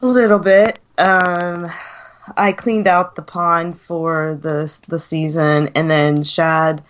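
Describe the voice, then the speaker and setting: An American woman with a cold, chatting casually on a radio show, speaking with fillers and soft laughs. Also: on the low side, little pitch movement, slow. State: sick with a cold, deeper voice. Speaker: guest co-host. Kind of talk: casual chat. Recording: lo-fi online radio call.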